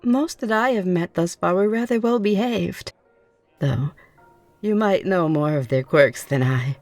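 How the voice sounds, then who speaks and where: Girl doing a polite curious new vampire lady, deep for a woman, reassuring, asmr w soft music. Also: a little slow, no echo. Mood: courteous, reassuring. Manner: formal, genteel, reassuring phrasing. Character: optimistic, curious, courteous newly turned vampire woman. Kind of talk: ASMR roleplay. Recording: music behind the voice.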